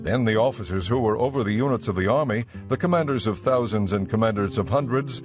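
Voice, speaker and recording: Low male scripture narrator over prominent music. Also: muffled recording. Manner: measured, formal.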